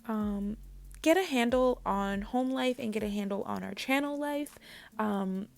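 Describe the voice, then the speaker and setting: A woman narrating a hair tutorial voice-over, chatty and talking straight to her viewers, with a raspy voice. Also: on the high side, darkish tone. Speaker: parent vlogger. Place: home.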